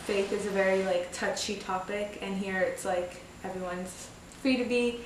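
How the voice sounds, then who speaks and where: A woman's voice: medium pitch, fairly even intonation, normal speed, noisy background. A woman in her twenties from Egypt talking casually and informally in a documentary interview. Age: 20s. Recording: very echoey room, distant mic.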